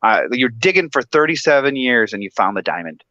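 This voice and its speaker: A man's voice: mid-range voice, very animated, brisk, pushed and bright, no echo. Guest, man in his thirties, from Michigan, chatty and given to laughing, dull muffled audio. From Michigan, USA. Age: 30s. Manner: chatty, laughs often, many restarts. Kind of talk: casual chat.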